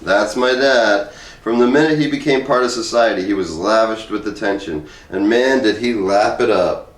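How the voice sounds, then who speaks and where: Low-voiced man in an echoey room, some distance away. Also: normal speed. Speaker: host reading aloud from a book. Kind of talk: casual chat and read-aloud of a humorous essay. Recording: roomy echo, distant from the mic.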